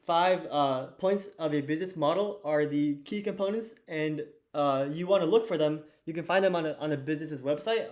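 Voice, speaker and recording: A teenage boy with a hoarse, fading voice, teaching an investing lesson at a whiteboard in a loose, chatty way with lots of fillers and restarts. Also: a little high, darkish tone. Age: teens. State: hoarse, losing his voice. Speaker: student teacher of an investing course.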